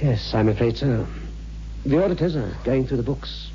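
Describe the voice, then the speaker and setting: An actor playing a worried, testy and defeated husband in a 1960s radio horror drama. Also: male voice, mid-range voice, lively intonation, moderate pace, dark tone, natural voice. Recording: muffled old radio recording, faint hum.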